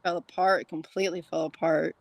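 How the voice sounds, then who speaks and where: A woman hosting a casual, jokey interview, talking in a giggly, rambling and halting way. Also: low voice, flat delivery, gravelly, no echo. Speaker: podcast host and interviewer.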